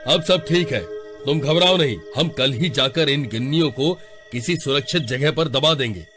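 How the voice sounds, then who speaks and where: A man voicing a protective, steady older brother in a cartoon story, reassuring and earnest. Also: on the high side, normal speed, faint music behind, lightly acted.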